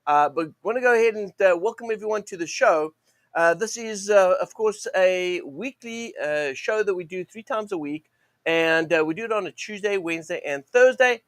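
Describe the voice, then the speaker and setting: A man hosting a live trading show, speaking with a croaky, sore throat in a rambling way, full of fillers and restarts. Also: high voice, animated, normal speed, fairly bright tone, no room sound. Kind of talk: live-stream teaching monologue.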